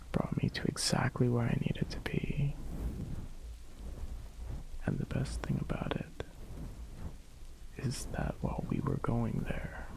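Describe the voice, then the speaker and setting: A man playing a tender, adoring boyfriend in an ASMR roleplay, speaking in soft, breathy, gentle whispers. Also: mid-range voice. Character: tender, adoring boyfriend comforting a sad partner.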